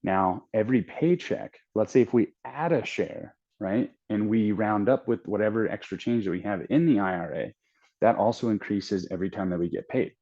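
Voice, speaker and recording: A man's voice: fairly low pitch, moderate pace, mellow. A man in his thirties hosting a trading channel, talking casually and thinking aloud with restarts as he works through numbers on screen. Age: 30s. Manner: rambling, thinking aloud with restarts while calculating. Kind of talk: casual screen-share walkthrough. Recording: dull lo-fi sound.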